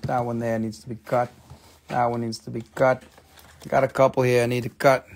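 A middle-aged man hosting a live sales stream, talking casually and excitedly, exclaiming and swearing as he shows stones at a table indoors. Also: mid-range voice, normal speed, gravelly. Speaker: live-stream gem seller.

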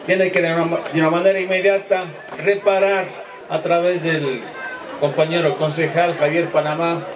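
A middle-aged man gives an official speech in a high voice. It echoes from an outdoor PA over a noisy crowd. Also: level tone, normal speed, far from the mic. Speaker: mayor. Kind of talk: public speech. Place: covered outdoor stage. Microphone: handheld microphone.

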